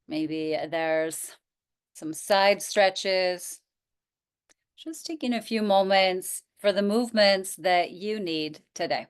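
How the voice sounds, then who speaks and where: A woman teaching yoga nidra, guiding relaxation in a gentle, inviting manner with pauses. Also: mid-range voice, smooth. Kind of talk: guided relaxation instruction.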